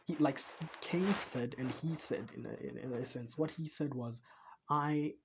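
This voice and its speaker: A man's voice: mid-range voice, normal speed, highs cut off, slight background noise. A man giving casual reaction commentary, rambling and musing with frequent restarts and stammers. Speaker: reaction video creator.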